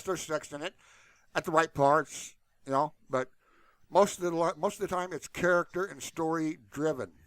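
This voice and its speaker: Male channel host, slightly higher register, loose informal rambling, very dry close sound. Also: fairly bright tone, dry recording. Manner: informal and unscripted, repeatedly saying "you know". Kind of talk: casual, rambling solo talk to viewers.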